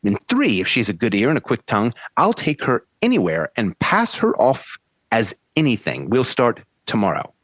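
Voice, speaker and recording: A woman's voice: very deep voice, wildly swooping pitch, normal speed, breathy edge, dry recording, exaggerated voice. A woman playing an arrogant, imperious male phonetics professor in an amateur play reading. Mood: grandiose. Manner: imperious, brusque, theatrical.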